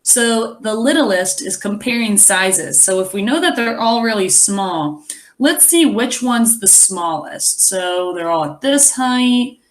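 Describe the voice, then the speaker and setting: A woman reading a picture book aloud as a teacher, expressively, pausing to explain and think aloud for young children. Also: medium pitch, lively intonation.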